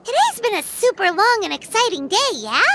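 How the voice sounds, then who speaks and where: Tiny game fairy sidekick, squeaky and childlike, extremely high, wildly animated delivery. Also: female voice, fairly quick, slight background noise, heavily acted. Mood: chirpy. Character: small excitable fairy companion with a childlike voice. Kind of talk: video game voice acting.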